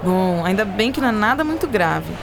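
A woman's voice: medium pitch, big pitch swings, fairly quick, gravelly, music in the background. A woman with a Brazilian accent playing a caring, affectionate aunt who is a scientist, in a radio drama. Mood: reassuring. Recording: noisy ambience behind voice.

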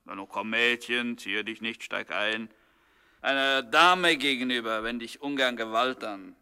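A man's voice: medium pitch, a little slow, pushed and bright, clean tone. A man playing a pushy, flirtatious car thief, boastful and wheedling with a sneer, in a 1960s radio crime comedy. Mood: mocking, pushy.

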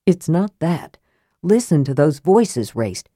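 A woman's voice: low-pitched, sing-song, slow pace, mellow, no room sound, clean studio sound, exaggerated voice. A woman voicing a hearty, plain-spoken male warrior in an audiobook, blunt and urgent. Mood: uneasy. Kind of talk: audiobook character acting.

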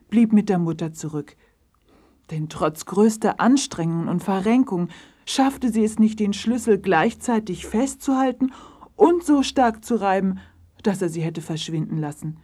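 Female narrator for children's story. Strongly husky and breathy, slightly low, dramatic and suspenseful. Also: dark tone.

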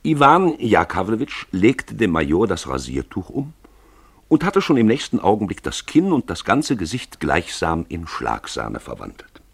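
A man narrates a radio play in a very low, husky voice with a gravelly edge. His storytelling is theatrical and wry, in a close, literary style. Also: wildly swooping pitch, normal speed, no echo.

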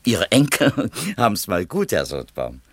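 An actor playing an earnest, wordy and evasive publishing editor in a 1970s East German radio drama. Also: male voice, lowish voice, wildly swooping pitch, unhurried, exaggerated voice. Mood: chatty, admiring. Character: conscientious male publishing editor, talkative, evasive, self-reproachful.

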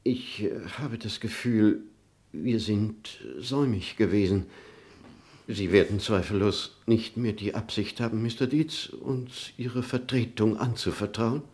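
An actor playing a hesitant, apologetic and formal male lawyer, halting with embarrassment, in a radio play. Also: lowish voice, darkish tone, close to an everyday voice. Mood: sheepish, formal.

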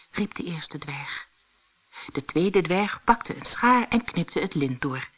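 A woman reading a fairy tale as a storyteller, in expressive, dramatic and measured scripted narration. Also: lowish voice, breathy edge.

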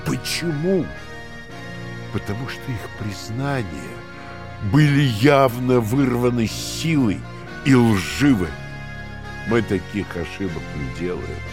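An actor playing a coldly patient, menacing Party interrogator in a radio play, with a theatrical, deliberate, lecturing delivery that swings from hushed to shouting. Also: male voice, medium pitch, expressive, music in the background. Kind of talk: dramatic radio play acting.

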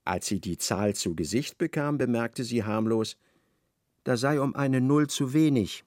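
Ein Mann als Erzähler eines literarischen Hörspiels. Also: male voice, mid-range voice, a little slow, a little mellow, dry recording, studio quality, close to an everyday voice. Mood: measured narration. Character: expressive male narrator of a literary radio play. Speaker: narrator. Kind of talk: literary radio play narration.

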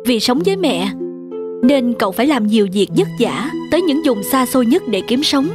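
A woman's voice: fairly high pitch, very animated, normal speed, over music. A woman storyteller reading a scripted fairy tale for children.

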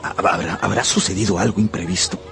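A man's voice: low-pitched, brisk, vocal fry, fairly bright tone, some chatter behind. An actor playing an earnest, lovestruck young man, narrating and speaking in an intimate radio drama. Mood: concerned. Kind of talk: first-person radio drama narration and dialogue.

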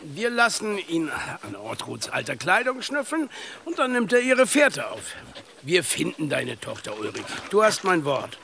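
An actor playing a calm, wry middle-aged village father in an audio drama, with a creaky, measured delivery. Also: on the high side, background noise, exaggerated voice. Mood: calm, reassuring. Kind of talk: German audio drama acting.

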